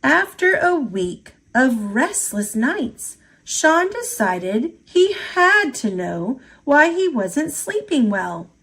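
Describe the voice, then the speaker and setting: Lively, unhurried woman reading a storybook. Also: high voice, breathy edge. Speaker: storybook reader. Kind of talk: expressive read-aloud children's storytelling.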